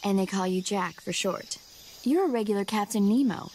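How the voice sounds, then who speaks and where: An actress playing a young, friendly military medic with a teasing manner in a video game. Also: female voice, mid-range voice, normal speed, natural voice. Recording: game audio with loud insect noise.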